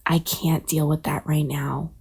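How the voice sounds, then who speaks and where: Storybook fledgling, female performer: breathy, husky, whiny, desperate, rambling pleas. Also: low voice, flat delivery, unhurried, a little mellow, voice pushed down, exaggerated voice. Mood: desperate pleading. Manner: pleading, rambling. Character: desperate young male bird, whiny and foul-mouthed.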